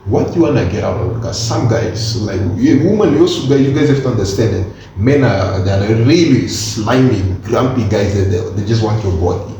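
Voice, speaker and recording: A man's voice: fairly low pitch, brisk, few pauses, slight background noise. A man of twenty-one talking earnestly in casual chat as a co-host, slipping in slang as he explains his point. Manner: earnest, animated, peppers talk with slang. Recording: echoey room from a distance, dull sound.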